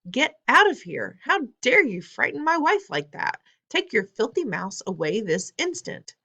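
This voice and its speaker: A woman reading aloud, voicing an indignant middle-aged father who blusters and shouts. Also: low voice, sing-song, moderate pace, exaggerated voice. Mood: shouting.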